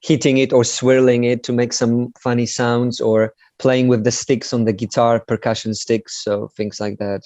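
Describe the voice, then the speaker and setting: A man from Poland, chatting as a guest in an interview in a relaxed, self-deprecating way with many fillers. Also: mid-range voice, normal speed, hardly any pauses, dry recording. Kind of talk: casual interview chat. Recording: dry, slightly dull sound.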